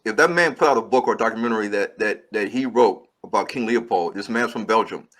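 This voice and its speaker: A man's voice: lowish voice, fast talker, fairly bright tone. A man, a filmmaker guest, giving long earnest explanations in an interview and restarting his sentences often. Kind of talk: interview answers.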